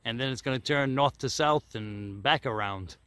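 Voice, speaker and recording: A man's voice: medium pitch, normal speed, pushed and bright, clear, resonant tone, no room sound. A man giving casual, folksy vlog commentary as a hobbyist showing his own handiwork. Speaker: host, hobbyist showing his antenna build.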